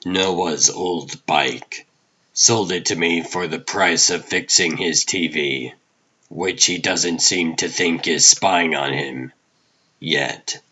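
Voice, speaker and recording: Guy doing a brooding comic book vigilante detective, real low and slow, grim noir narration. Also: pushed and bright, slightly rough, lo-fi sound, exaggerated voice. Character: aging vigilante hero, brooding hard-boiled detective narrator. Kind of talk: scripted comic dub, noir inner monologue.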